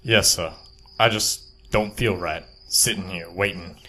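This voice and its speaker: A man's voice: low voice, steady tone, measured pace, pushed and bright, slight background noise. An actor playing an earnest, polite man on an 1870s expedition in an audio drama. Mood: uneasy, urgent.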